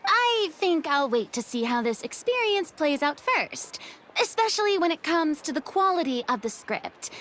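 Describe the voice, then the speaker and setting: A woman voicing a playful, theatrical young lady in a video game, expressive, breezy and frank. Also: high-pitched, moderate pace, slight background noise. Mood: breezy, candid. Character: theatrical, candid young female former superior, playful. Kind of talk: video game character dialogue. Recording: music playing behind the voice.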